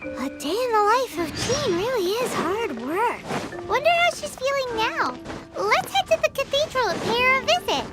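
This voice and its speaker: Perky tiny fairy companion, squeaky, very high and highly animated, anime game. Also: female voice, moderate pace, loud music behind the voice. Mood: upbeat. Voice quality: squeaky, childlike. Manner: refers to self in third person, perky. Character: tiny floating fairy girl companion, cheerful and nosy. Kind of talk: anime video game voice acting.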